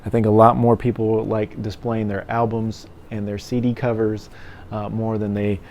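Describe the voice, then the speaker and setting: Low, mellow middle-aged man, rambling casually. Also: level tone, normal speed. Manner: rambling, conversational. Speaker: music gear reviewer and vlogger. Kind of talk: casual opinion vlog. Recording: clear background noise.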